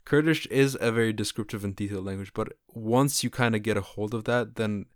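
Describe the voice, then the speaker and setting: A woman's voice: rumbling low, a little fast, no echo, studio quality. A woman hosting an interview, encouraging her guest and asking follow-up questions. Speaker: podcast host. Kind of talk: casual interview conversation.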